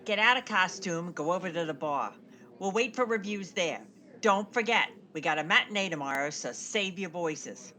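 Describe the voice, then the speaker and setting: An actress playing a wry, bossy, no-nonsense stage manager in a radio play. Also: female voice, on the low side, lively intonation, moderate pace, pushed and bright. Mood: brisk, commanding. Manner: wisecracking, bossy.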